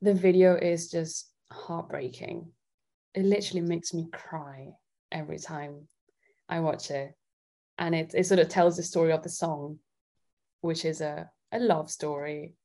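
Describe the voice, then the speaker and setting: A woman's voice: low-pitched, steady tone, unhurried, warm tone. A woman from Italy in a casual interview as a guest, thinking aloud and hesitating as she answers. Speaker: guest singer-songwriter.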